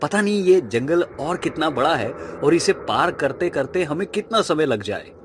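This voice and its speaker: A man acting out an excitable, curious young man in a cartoon story, in an animated, exclaiming manner. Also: fairly high pitch, moderate pace, noisy background, pitched above the performer's own voice, natural voice. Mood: weary. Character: curious, excitable young male friends lost in forest.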